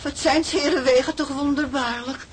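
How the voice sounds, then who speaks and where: A woman's voice: high-pitched, steady tone, moderate pace, background noise, close to an everyday voice. An actress playing an anxious, pious older mother of a seafaring family in a radio drama, fretful and devout. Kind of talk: Dutch radio drama acting.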